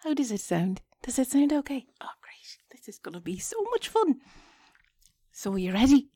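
Woman acting as flustered irish wife, breathy n husky, close dry sound, self-conscious sexy talk in an audio roleplay. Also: on the high side, lively intonation, dark tone, no echo. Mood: nervous, teasing. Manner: halting, self-conscious, coaxing, drifting into breathy whispers. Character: flustered, chatty Irish wife talking intimately to her partner. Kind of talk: intimate erotic audio roleplay.

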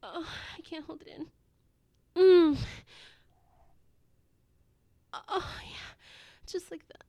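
Woman acting out a high, creaky, intimate lover. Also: steady tone, unhurried, highs cut off, exaggerated voice. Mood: aroused, breathless. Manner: breathy, intimate, often slipping into whispers. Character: amorous, playful adult woman at an office party. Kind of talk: intimate erotic audio roleplay.

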